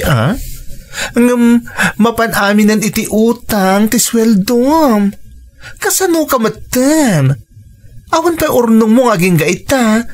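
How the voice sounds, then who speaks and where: A woman acting a concerned, frank friend who works abroad in a radio drama, with an Ilocano accent and an emphatic, admonishing delivery. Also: mid-range voice. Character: concerned, frank female friend working abroad, scolding and pleading.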